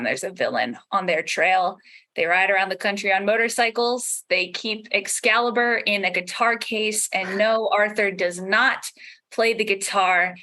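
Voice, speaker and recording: A woman with a bright, forward voice at a middle pitch talks casually as a podcast creator on a panel. Her manner is playful and joking, as if chatting among fellow makers.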